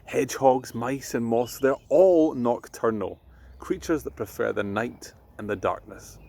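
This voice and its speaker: A man voicing a gentle, knowledgeable mother who explains things patiently in a storybook. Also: mid-range voice, measured pace, creaky.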